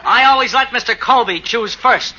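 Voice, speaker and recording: A man's voice: extremely high pitch, normal speed, very noisy. A man playing a simpering yes-man who fawns on his boss, in 1940s radio comedy style on a muffled old broadcast. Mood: fawning.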